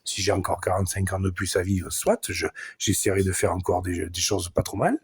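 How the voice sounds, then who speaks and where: A man's voice: low voice, level tone, a little fast, breathy edge. A man in his forties with a Southern French accent, delivering a crude, boastful podcast monologue full of swearing. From southern France. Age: 40s. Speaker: podcast host.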